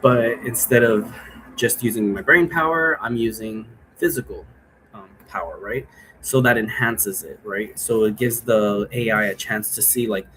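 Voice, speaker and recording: A man's voice: lowish voice, unhurried, a bit bright. Rambling man, casual explainer, slightly noisy room. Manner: rambling, seeks agreement with frequent tag questions. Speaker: vlogger explaining own role-play game. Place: indoor room.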